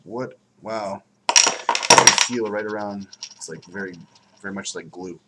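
A man's voice: lowish voice, a little slow, heavy background noise. A young man casually reviewing a beer at home, rambling and repeating words for emphasis, recorded on a desk webcam. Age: young adult. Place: home room by window blinds, indoors.

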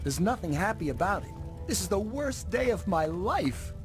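A man's voice: high voice, very animated, moderate pace, music in the background. A young man playing an eager, whiny, naive teenage boy in a video game. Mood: sulky, pleading.

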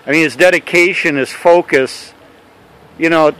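A man interviewing a canoe racer, building his questions slowly and rambling a little. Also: a little high, steady tone, a bit bright, smooth, low-quality recording.

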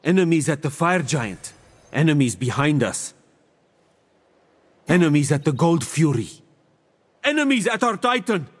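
A mystic seer god from a video game, grand and serene with a smug wisdom. He speaks formally and theatrically in measured phrases, and his voice rings out with a long reverb. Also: fairly high pitch, sing-song. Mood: urgent commanding. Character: mystic male seer deity, serene, grandiose, smugly wise. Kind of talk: video game character voice lines.

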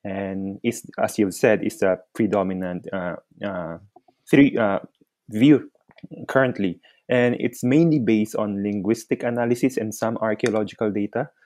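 A man's voice: lowish voice, normal speed, a little mellow, no room sound. A man from the Philippines, a researcher, explaining his work in an interview with frequent fillers. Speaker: researcher guest. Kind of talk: interview answers.

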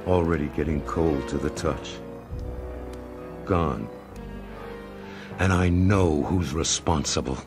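Male actor as grim vigilante hero, deep voice, terse, solemn, brooding, comic-book drama, prominent music. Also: slow pace, long pauses, full character voice. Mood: grieving self-reproach. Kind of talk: comic-book voice acting, inner monologue. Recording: loud music under the voice.

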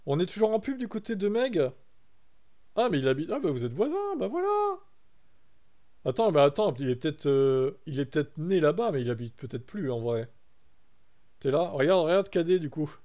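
A man's voice: fairly high pitch, very animated, moderate pace, warm tone. A young man from France chatting casually on a live stream, reacting to his chat and reading text aloud.

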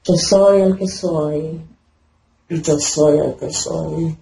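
A woman's voice: deep voice, fairly even intonation, extremely slow, creaky, dark tone. A woman speaking in a trance-like state during a deliverance prayer, groaning, moaning and chanting repeated syllables, heard through a webcam on a video call. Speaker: person receiving deliverance prayer. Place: indoor room at home. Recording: echoey video call audio with faint noise.

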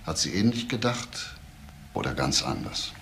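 A male actor playing a deliberate, insistent police investigator in a crime radio play. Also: extremely low pitch, sing-song, vocal fry, fairly bright tone, slight background noise, heavily acted. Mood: insistent, pointed. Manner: deliberate, pointed, questioning. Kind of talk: radio crime drama acting.

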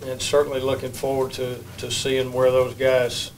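An older man, a baseball head coach, answering questions at a postgame press conference in a plain, measured way at a table microphone. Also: medium pitch, monotone, slight background noise, low-quality recording. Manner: measured, plain-spoken, many restarts. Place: press room. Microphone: table mic.

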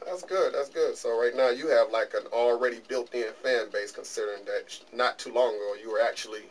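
A man's voice: mid-range voice, brisk. A middle-aged man hosting a small interview show, asking questions in a plainspoken, joking way. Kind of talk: casual interview. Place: small office studio. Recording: distant camera mic with faint room noise.

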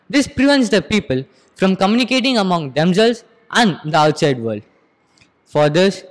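A teenage male student with an Indian accent gives a rehearsed, formal presentation. His voice is high for a male speaker. The room around him rings with long reverb. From Andhra Pradesh, India. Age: teens, about 15. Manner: formal, explanatory. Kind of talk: rehearsed school project presentation. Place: school computer lab, indoors. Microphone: handheld microphone.

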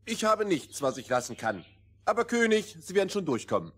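An actor playing a teasing, rhyming companion in a fairy-tale radio play. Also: male voice, sits high, very animated, brisk, a bit bright, breathy edge, heavily acted. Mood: mocking.

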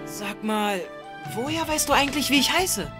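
High-voiced plucky schoolboy. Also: female voice, animated, normal speed, over music. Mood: astonished. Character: plucky, curious schoolboy hero. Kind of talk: German children's audio drama.

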